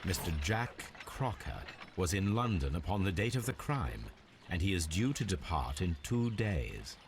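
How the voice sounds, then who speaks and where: An actor playing a calm, analytical detective in a video game, with formal, deliberate delivery. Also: male voice, low voice, noisy background. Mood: analytical, composed. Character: calm, analytical male detective, coolly probing. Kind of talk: scripted video game voice acting.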